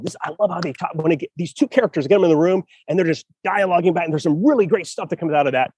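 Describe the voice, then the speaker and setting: Upbeat guy hosting a writing chat, kinda high voice, lots of restarts, dry close mic. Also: dry recording. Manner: enthusiastic, thinks aloud with many restarts. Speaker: podcast host. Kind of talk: casual chat about writing.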